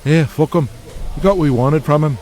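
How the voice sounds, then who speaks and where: A man's voice: a little high, fairly quick, a little mellow, pitched above the performer's own voice, natural voice. A man voicing a cocky, sly wrestling villain in an audio drama, with a smug, taunting and colloquial way of talking. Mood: gleeful, cocky.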